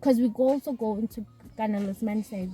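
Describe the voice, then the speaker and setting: A soft-spoken woman from South Africa chats casually, often restarting her sentences. Her voice is slightly high and mellow. Faint music plays behind her. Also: brisk, rough recording. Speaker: author guest. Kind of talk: casual chat.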